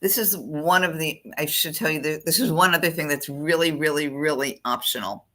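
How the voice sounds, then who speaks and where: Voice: mid-range voice, steady tone, moderate pace, fairly bright tone. A college admissions counselor giving a screen-share walkthrough of an application form, thinking aloud while clicking through the fields.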